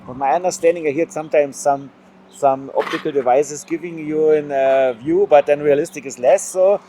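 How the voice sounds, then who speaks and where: A man interviewing a company founder, asking technical questions in halting, non-native phrasing while he searches for words. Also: fairly high pitch, mellow, a little hiss or hum.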